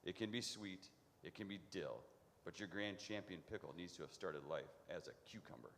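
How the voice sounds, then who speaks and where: A man's voice: deep voice, moderate pace, noisy background. A man playing a touchy, emphatic pickle expert commentating on a festival in a comic live radio play. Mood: indignant. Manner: emphatic, indignant. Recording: live, reverberant church hall.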